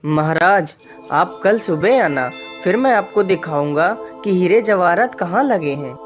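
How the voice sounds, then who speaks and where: A cunning male thief from a cartoon moral tale, played by a voice performer. He speaks in a measured, persuasive way, sure of his own scheme. Faint music plays behind the voice. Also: high voice, expressive. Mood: assured. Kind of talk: animated Hindi moral-story dialogue.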